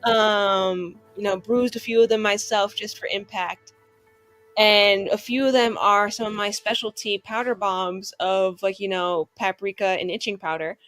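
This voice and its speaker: Female tabletop gamer. Conversational, improvised, loosely rambling, medium pitch, soft music underneath. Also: fairly even intonation, a little slow. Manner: rambling, peppered with "like". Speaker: player in a tabletop roleplaying game. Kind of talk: casual, improvised game chat.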